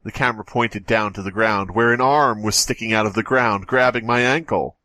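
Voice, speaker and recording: A man's voice: lowish voice, fairly quick, sharp tone, no room sound. A man hosting a podcast, reading a horror story aloud and breaking off with joking asides. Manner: jokey, breaks off to comment. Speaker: podcast host.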